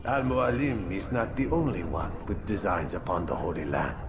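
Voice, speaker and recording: An actor playing a defiant, contemptuous dying slaver in a video game, speaking with dramatic, scornful rhetoric. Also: male voice, low-pitched, moderate pace, noisy background, lightly acted. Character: defiant dying male slaver, proud, contemptuous, self-justifying. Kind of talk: video game cutscene acting.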